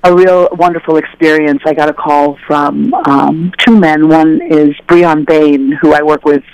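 A woman telling stories as an interview guest, animated and conversational, acting out the voices of people she quotes. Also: low voice, measured pace, smooth, no room sound, low-quality recording. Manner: animated, acts out dialogue in voices. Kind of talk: casual conversational storytelling.

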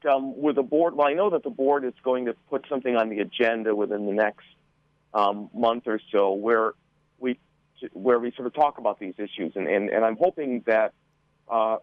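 A man speaking as a school board member in a radio interview, explaining carefully in a halting way and often repeating words. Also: medium pitch, no room sound. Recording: muffled narrow phone-like line.

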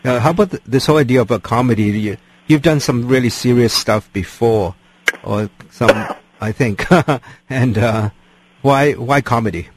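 A man's voice: medium pitch, normal speed, a little hiss or hum. A man interviewing a guest on the radio, asking hesitant questions full of fillers.

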